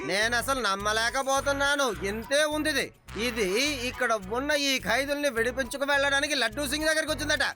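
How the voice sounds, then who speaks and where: A comic police inspector for a kids' cartoon. His voice sits extremely high, and he blusters and boasts with theatrical pomp. Music plays clearly underneath. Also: fast talker. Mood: boastful. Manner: pompous, theatrical. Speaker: cartoon voice actor. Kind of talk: exaggerated children's cartoon acting.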